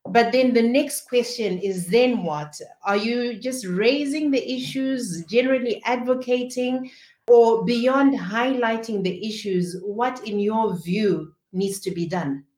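A woman journalist asking probing interview questions in a measured way. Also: on the high side, a little mellow, echoey room.

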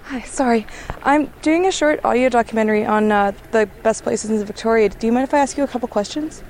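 A woman's voice: fairly high pitch, fast talker, a little mellow, slight background noise. A young woman making an audio documentary, politely stopping people on an outdoor beach with short friendly questions. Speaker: interviewer, documentary maker. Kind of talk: vox pop interviewing.

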